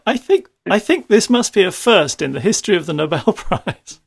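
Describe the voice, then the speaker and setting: A British man conducting an interview in a courteous, slightly halting manner. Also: high-pitched, sing-song, vocal fry, slightly rough. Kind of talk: polite telephone interview.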